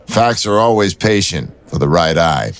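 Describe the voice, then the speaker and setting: Man playing a low-voiced, wry detective. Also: animated, moderate pace, no room sound. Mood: wry, musing. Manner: wry, bantering. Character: wry male police detective, cool and teasing. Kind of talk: audio drama acting.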